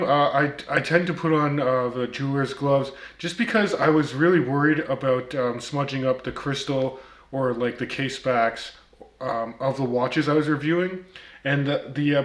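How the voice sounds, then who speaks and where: Guy w/ a bit of a turkish accent, lots of um and uh, dry comebacks, mid pitch, echoey room. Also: normal speed, a bit bright. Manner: many ums and uhs, wry comebacks. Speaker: watch reviewer, channel host. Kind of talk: casual vlog commentary.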